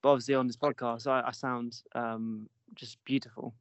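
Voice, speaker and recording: A man's voice: mid-range voice, flat delivery, moderate pace, dry recording, muffled recording. Joking young male podcast host. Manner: jokey, self-mocking, stammering restarts. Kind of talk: casual chat.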